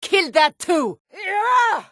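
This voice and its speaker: A woman's voice: very high-pitched, very animated, extremely slow, pushed and bright, no room sound, clean studio sound, exaggerated voice. An actress playing a stern, suspicious, hot-tempered Nord townswoman in a fantasy video game, speaking in a blunt, challenging way. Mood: battle fury. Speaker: game character voice.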